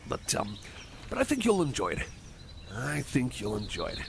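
Man acting a shy, stammering, creaky-voiced cyborg buddy. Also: mid-range voice, wildly swooping pitch, a little slow, background noise, heavily acted. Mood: shy, friendly. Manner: hesitant, stammering, apologetic. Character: shy, awkward, eager-to-please young male cyborg buddy. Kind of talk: ASMR roleplay, rambling to the listener.

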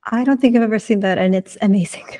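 A woman's voice: mid-range voice, fast talker, warm tone. A woman improvising casually as a player in a tabletop roleplaying game, with polite, tentative phrasing, on a video call.

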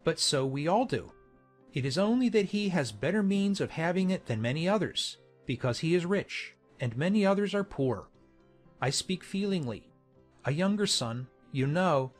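A well-born colonel in an audiobook drama. His voice is slightly high for a man, courteous and measured, with formal phrasing. Faint music runs behind him. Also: animated. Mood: candid, polite. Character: courteous gentleman colonel, an earl's younger son.

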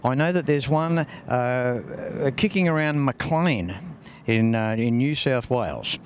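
A man's voice: mid-range voice, expressive, slow pace, a little hiss or hum. An older Australian man hosting a car show in a large showroom, chatty and enthusiastic, on a lapel mic. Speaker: host.

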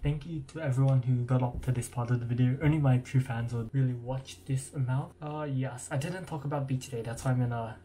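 A man's voice: medium pitch, a little fast, few pauses. Teen Australian guy vlogging from his bedroom. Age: teens. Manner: relaxed, chatty, self-deprecating. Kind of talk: casual vlog talk to camera. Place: small bedroom, indoors. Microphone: wired earphone mic. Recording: music playing underneath.

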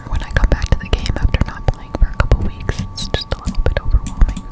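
Whispering woman, close-up ASMR. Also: quick pace. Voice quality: unvoiced whisper. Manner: chewing gum while talking. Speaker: streamer playing a video game. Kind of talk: whispered ASMR gameplay commentary. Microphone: close-up desktop studio microphone.

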